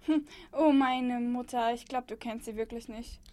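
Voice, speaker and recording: A woman's voice: fairly high pitch, steady tone, moderate pace, warm tone, close to an everyday voice. A woman playing a cool, frustrated young street songwriter in a radio play, talking in a casual, slangy, hesitant way. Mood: frustrated, resigned. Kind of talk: German radio play dialogue.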